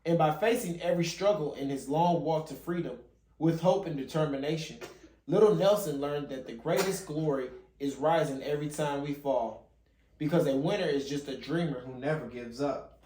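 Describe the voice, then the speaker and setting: A man with a fairly high-pitched voice reads a storybook aloud for children. He sometimes trips on a word and repeats the phrase. The recording is echoey, as in a bare room at home. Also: normal speed, dark tone. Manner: stumbles and rereads phrases as he reads. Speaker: father hosting a children's read-along channel. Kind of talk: reading a picture book aloud.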